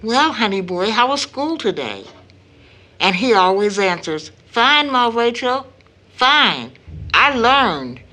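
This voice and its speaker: A woman playing a worried, grave middle-aged mother in an audio drama, speaking earnestly and deliberately. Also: medium pitch, sharp tone, heavily acted.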